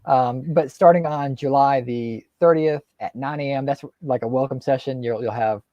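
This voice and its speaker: A man's voice: mid-range voice, moderate pace, mellow, no room sound, muffled recording. A man hosting a video call, easygoing as he walks through a schedule. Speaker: host. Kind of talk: casual video call chat.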